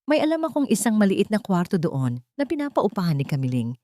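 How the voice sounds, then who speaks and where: A woman voicing a kind, gentle old grandfather in a narrated love story. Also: medium pitch, very animated, brisk, no room sound, clean studio sound, voice pushed up, natural voice. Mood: reassuring.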